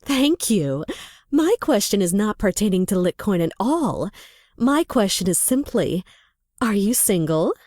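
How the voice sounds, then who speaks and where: A woman's voice: medium pitch, very animated, measured pace, darkish tone, no room sound, studio quality. A woman voicing a forward, playful female audience member in a visual novel.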